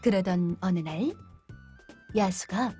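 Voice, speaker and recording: A woman narrating a children's fairytale in a clear, gentle read-aloud manner. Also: lowish voice, slow pace, soft background music. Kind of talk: scripted storybook narration.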